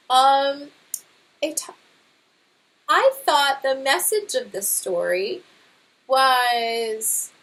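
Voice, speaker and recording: Woman, fairly high voice, unhurried, teacherly, chatty asides, children's story reader. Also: a bit bright, poor audio. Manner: teacherly, asks listeners questions.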